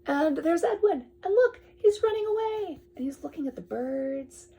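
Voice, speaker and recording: A woman reads a picture book aloud to a young child in drawn-out phrases, often dropping to a hushed tone and adding chatty asides. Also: extremely high pitch, expressive. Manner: addresses the child with questions and pointers. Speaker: storyteller reading to a young child.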